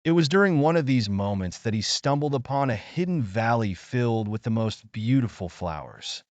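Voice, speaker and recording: A man's voice: medium pitch, animated, moderate pace, no room sound, highs cut off. A man narrating a scripted children's bedtime story as a storyteller.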